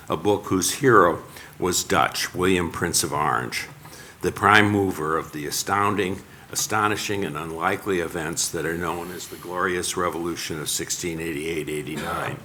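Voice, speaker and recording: An older man giving a prepared lecture as a panel speaker, in a measured way that sounds read from a text. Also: low-pitched, bright, forceful tone, slight background noise. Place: lecture hall.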